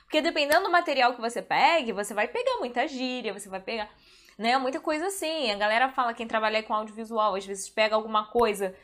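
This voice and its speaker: A woman's voice: on the high side, fast talker. Chatty Brazilian woman talking to camera. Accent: Brazilian Portuguese. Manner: chatty, animated, speaks directly to the viewer. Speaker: translator and video host. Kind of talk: casual talk to camera.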